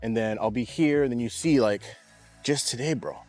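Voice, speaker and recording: A man's voice: mid-range voice, normal speed, a little mellow, soft background music. Laid-back, slangy man vlogging outdoors. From Pacific Northwest. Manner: laid-back, chatty, slangy, says bro and dude a lot. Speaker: lawn care vlogger. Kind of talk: casual vlog talk to camera. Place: outdoors in a yard.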